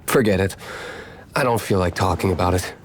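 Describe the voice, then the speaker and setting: Low-voiced, brooding tavern owner. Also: male voice, slight background noise. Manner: dry, terse, composed. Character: cool, brooding young tavern owner, guarded and curt. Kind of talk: anime video game voice acting. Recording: faint music behind.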